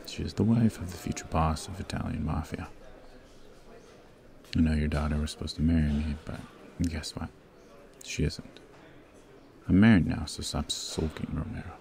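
A man acting a very deep, cold mafia heir. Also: mellow, full character voice. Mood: controlled, reassuring, then menacing. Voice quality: gravelly, very deep. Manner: stern, domineering, clipped and menacing. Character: cold-hearted, commanding young mafia heir, newly married. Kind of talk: ASMR roleplay, scripted acting.

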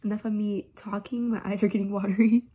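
A woman's voice: medium pitch, flat delivery, fast talker, dark tone, husky. Stuffy-nosed teen girl vlogger. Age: teens. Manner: chatty, rambling, lots of "like" and "um". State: stuffy runny nose. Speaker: makeup vlogger. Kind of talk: casual vanity tour vlog. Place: bedroom at home. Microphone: phone camera.

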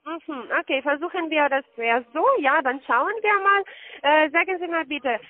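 A woman's voice: high voice, moderate pace. A woman call-centre agent with a foreign accent, speaking non-native German on a phone call, hesitantly checking and repeating details. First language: not German. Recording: dull band-limited phone line.

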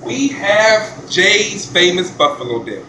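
A man, a chef filming a casual vlog in a commercial kitchen, talking in relaxed slang. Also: sits high, takes it slowly, noisy background. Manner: laid-back slangy hype talk. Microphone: handheld phone camera.

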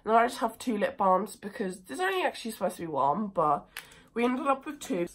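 Croaky-voiced teenage English girl with a cold. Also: mid-range voice, fairly quick. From England. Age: teens. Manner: chatty, lively, self-mocking. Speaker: vlogger. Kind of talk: casual chat. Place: bedroom. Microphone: phone camera at desk distance.

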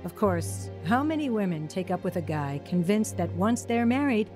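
A woman's voice: medium pitch, very animated, normal speed, natural voice. A young woman acting the lead in a radio drama and narrating her own story in first person, earnest and emotional. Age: young adult. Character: young wife and new mother, worn down by a hard marriage. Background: music under narration.

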